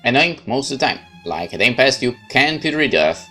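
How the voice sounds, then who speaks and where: A man narrating a horror visual novel in first person, calm, measured and brooding. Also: medium pitch, soft background music. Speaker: let's play host narrating a visual novel.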